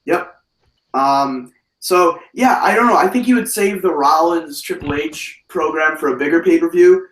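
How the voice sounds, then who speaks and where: Man, hoarse, slightly high voice, animated rambling talk, casual wrestling chat, echoey room, far from the mic. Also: normal speed. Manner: animated, rambling, many fillers and restarts. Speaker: wrestling podcast host.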